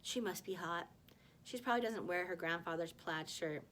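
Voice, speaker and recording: A woman's voice: on the low side, moderate pace, fairly bright tone, a little hiss or hum, lightly acted. Woman voicing a smirking, teasing schoolboy. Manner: mocking, teasing.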